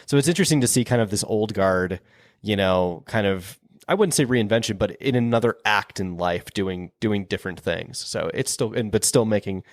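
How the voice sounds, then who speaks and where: Casting: laid-back male podcast host, low voice, quick, dry close mic. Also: no room sound. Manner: laid-back, enthusiastic, says dude and man. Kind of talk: casual interview chat.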